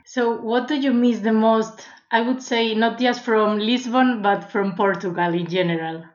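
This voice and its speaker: A woman's voice: fairly high pitch, steady tone, measured pace. Woman host from Spain, echoey. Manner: light, laughs along with the guest. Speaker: podcast host. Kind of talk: interview hosting. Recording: echoey muffled room.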